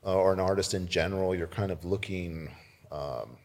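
A man with a low, deep voice talks as a guest in a casual interview. He is from South Texas, and his manner is easygoing and joking, with answers that come out haltingly. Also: a little mellow. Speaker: podcast guest, winemaker.

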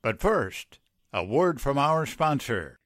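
A male announcer narrates an old-time radio drama in a theatrical way. His delivery is slow and highly varied in pitch, with a dry studio-like sound. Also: very animated, fairly bright tone, dry recording. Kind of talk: scripted 1940s radio narration.

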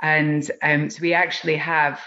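A woman's voice: low voice, level tone, moderate pace, bright, forceful tone, muffled recording. A Scottish woman speaking earnestly and heartfelt as a podcast guest, with many ums and restarts. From Scotland. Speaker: project organiser guest. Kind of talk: podcast conversation.

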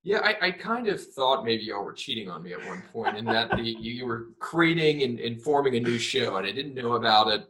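A man's voice: medium pitch, very animated, fairly quick, hardly any pauses, over a noisy crowd, rough recording. A man co-hosting a podcast, talking in a rambling, joking way. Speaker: podcast co-host. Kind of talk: casual podcast chat.

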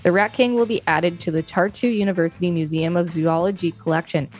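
A woman's voice: on the low side, steady tone, fairly quick, faint music behind. A woman co-host reading an encyclopedia article aloud, with casual asides now and then.

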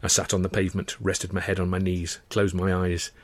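Low-voiced man narrating a story dramatically. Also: brisk, no echo. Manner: expressive, short clipped phrases. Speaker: author reading his own novel. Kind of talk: dramatic first-person audiobook narration.

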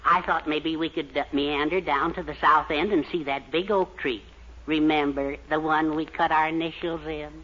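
An actor playing a scheming, henpecked middle-aged husband in a 1940s radio sitcom, hesitant and stammering. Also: male voice, on the high side, fairly even intonation, normal speed, natural voice. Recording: dull 1940s radio broadcast with faint hiss.

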